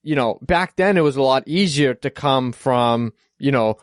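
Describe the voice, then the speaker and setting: An American man hosts a casual podcast interview, chiming in often with short agreements and follow-up questions. His voice sits at an ordinary pitch and is recorded close and dry, with no room sound. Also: animated, moderate pace, no room sound. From United States. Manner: supportive, with frequent short agreements. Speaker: podcast host.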